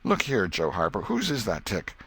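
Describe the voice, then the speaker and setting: A man's voice: on the low side, huge pitch swings, normal speed, a bit bright, breathy edge, full character voice. Man voicing an eager, stubborn mischievous schoolboy. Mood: defiant. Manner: rustic colloquial boyish talk.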